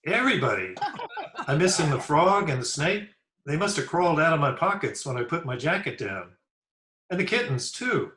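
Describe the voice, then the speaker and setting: A man's voice: on the high side, sing-song, normal speed, pushed and bright. A man playing an eager, folksy, simple teenage schoolboy in old-time radio comedy, with slangy speech. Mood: cheerful, then flustered. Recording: echoey remote video-call recording.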